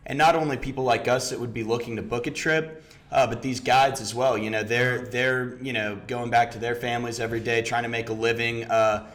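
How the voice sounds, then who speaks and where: A man from Houston speaks as a guest on a talk show, recounting how his business began. He talks in an easygoing way, leaning on filler words as he goes. His voice sits at an ordinary middle pitch. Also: brisk. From Houston, Texas. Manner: relaxed, many "you know" and "um" fillers. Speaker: startup founder, guest. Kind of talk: casual interview storytelling.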